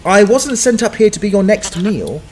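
Man acting a hapless, animated adventurer. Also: high-pitched, moderate pace, background noise. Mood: nervous bluffing. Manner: muttering to himself, stammering, sardonic. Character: hapless, wry male down-at-heel adventurer. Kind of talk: dramatized fantasy audiobook acting.

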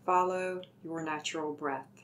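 A woman leading a guided meditation with soothing, deliberate, gently instructive delivery. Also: sits low, steady tone.

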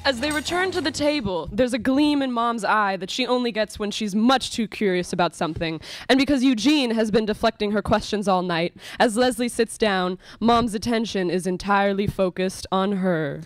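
A woman's voice: medium pitch, normal speed, few pauses, no echo, low-quality recording. A young adult woman giving scripted narration for a live radio play. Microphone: stand microphone.

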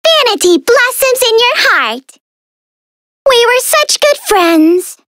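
A tiny, bratty fairy girl from a video game, squeaky and extremely high. The delivery is theatrical and sassy, swooping wildly up and down with a piercingly bright tone. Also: big pitch swings, slow, dry recording, studio quality, full character voice. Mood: playful. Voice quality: girlish, squeaky. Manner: playful, theatrical, teasing, sassy. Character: tiny mischievous female fairy, vain and bratty. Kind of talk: video game character voice lines.

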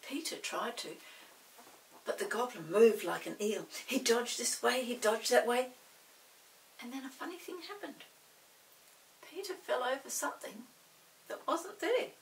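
A woman in her sixties narrating a children's story expressively, in a dramatic, deliberate manner. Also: a little high, expressive, recorded from a distance, slight background noise, rough recording. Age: 60s. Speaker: storybook reader. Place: small indoor set with dark backdrop.

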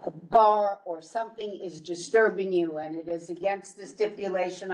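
A woman's voice: on the low side, a little mellow, dull audio. A woman giving a committee report at a community board meeting. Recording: distant mic in meeting room.

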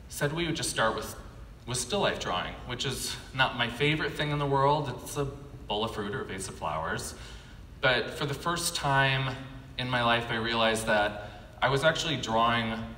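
American male keynote speaker, lowish forceful voice, measured storytelling from a podium in a reverberant hall. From California, United States. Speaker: keynote speaker, animation artist. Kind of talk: prepared autobiographical keynote speech. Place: conference stage at a podium, indoors. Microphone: podium gooseneck microphone. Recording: echoey hall PA, faint background noise.

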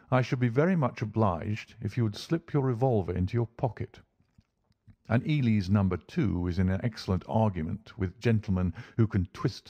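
Man doing a calm posh-mannered detective for an audiobook, polite, unbothered, a bit amused, dry sound. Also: on the low side, no echo, highs cut off. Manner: bland, imperturbable, courteous. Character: calm, urbane gentleman detective, dryly amused.

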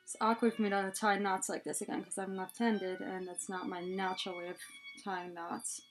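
Young female tutorial host, mid-range voice, chatty step-by-step delivery, small room, soft music underneath. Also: mid-range voice, little pitch movement, normal speed. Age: young adult. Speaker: head scarf tutorial host. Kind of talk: casual hands-on tutorial. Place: small room indoors, plain wall.

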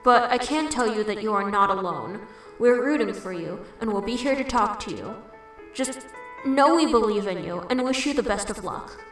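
A woman's voice: a little high, expressive, normal speed, close to an everyday voice. Echoing woman as an unseen questioning fan voice. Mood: gentle, supportive. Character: sympathetic unseen fan asking the hero questions. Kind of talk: reading fan questions for comic dub. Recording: heavy echo over background music.

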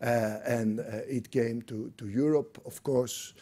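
A middle-aged man with a Greek accent speaks from a podium in a conference room. His voice is warm and mellow, and he works through his points with frequent fillers and restarts. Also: mid-range voice, steady tone, a little slow. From Greece. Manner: hesitant, many fillers and restarts. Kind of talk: unscripted lecture.

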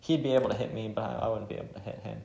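A man's voice: fairly low pitch, fairly even intonation, racing, creaky, mellow, reverberant. A man chatting casually as he plays a game and reads bits of it aloud. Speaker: gamer playing and reading game dialogue. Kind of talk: casual let's play chat with read-aloud dialogue.

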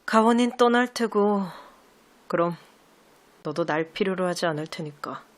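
A woman playing an insecure teenage idol trainee in an audio drama, with a soft, halting, emotional delivery. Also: on the low side, expressive. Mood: bitter, resigned. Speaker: amateur voice actor. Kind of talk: Korean audio drama acting.

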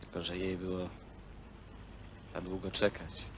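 Casting: resigned young country lad turned worker, low voice, terse broken phrases, noisy old film soundtrack. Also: male voice, monotone, unhurried. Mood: resigned, wistful. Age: young adult. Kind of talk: naturalistic Polish film dialogue.

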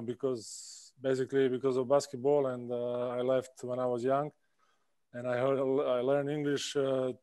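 A man in his thirties with a Czech accent talks haltingly, stopping and restarting his sentences. His voice is smooth and clean, recorded close and dry on a laptop mic at home. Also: medium pitch, warm tone, no room sound. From Czech Republic. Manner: halting, many "uh" pauses and restarts. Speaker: guest basketball player. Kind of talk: casual interview answers. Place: indoor room at home. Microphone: video call laptop mic.

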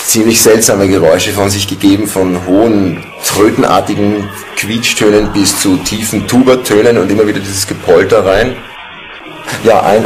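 Deep voiced guy telling what happened, interview with noticeable noise. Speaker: amateur paranormal investigator. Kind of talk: spontaneous interview account. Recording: noisy location interview.

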